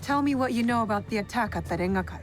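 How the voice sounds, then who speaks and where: An actress playing a calm, resolute young woman investigator in a video game drama, speaking in a measured, firm way. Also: a little high, lightly acted. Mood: measured, probing. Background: background music.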